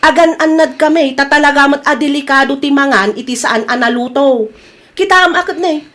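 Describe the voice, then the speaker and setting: A woman's voice: high voice, brisk, a bit bright. A woman with an Ilocano accent playing an aggrieved, dutiful young daughter in an audio drama. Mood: indignant.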